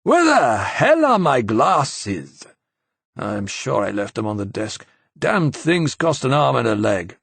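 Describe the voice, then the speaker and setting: A man's voice: medium pitch, very animated, slow pace, creaky, a bit bright, husky, no room sound, heavily acted. A man voicing a fussy, pompous male university president in an audiobook, testy and scolding. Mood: irritated. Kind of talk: audiobook character acting.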